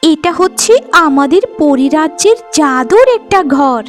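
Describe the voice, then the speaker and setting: A woman's voice: high voice, expressive, unhurried, faint music behind. A woman playing a kind, helpful magical fairy in a cartoon fairy tale, with a caring, reassuring delivery.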